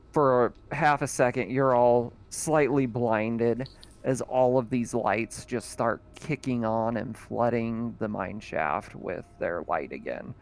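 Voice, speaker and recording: A man with a gravelly, creaky voice narrates a sci-fi tabletop session as game master. He keeps it relaxed and chatty, at a mid pitch.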